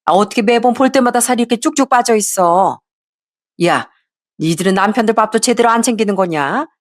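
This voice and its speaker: A woman voicing a bossy older mother-in-law who nags and yells at her daughter-in-law. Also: fairly high pitch, brisk, pitched above the performer's own voice, natural voice. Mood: scolding. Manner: sharp, nagging, raises voice to yell.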